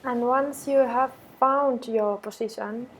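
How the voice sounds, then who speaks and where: A woman meditation teacher guiding affirmations in a calm, measured way with pauses between phrases. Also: fairly high pitch, slight background noise, poor audio. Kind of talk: guided meditation with repeated affirmations.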